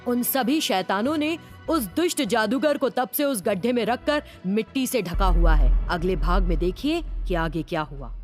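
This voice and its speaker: A woman's voice: mid-range voice, lively intonation, normal speed, hardly any pauses, soft background music. A woman narrating a scripted children's cartoon story.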